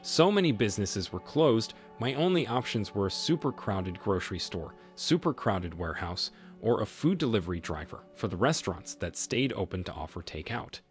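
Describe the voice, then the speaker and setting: A man reading reader-submitted stories aloud in a steady scripted narration, then giving his own reaction. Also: fairly low pitch, moderate pace. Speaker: story narrator. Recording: voice-over with soft music bed.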